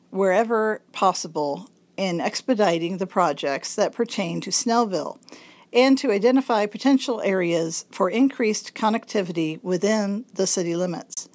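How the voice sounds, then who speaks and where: A woman with a raspy, congested voice reads a local magazine article aloud, speaking slowly and enunciating with care. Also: mid-range voice, expressive, darkish tone. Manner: slow, careful enunciation. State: congested, throat-clearing. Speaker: volunteer reader of a local magazine.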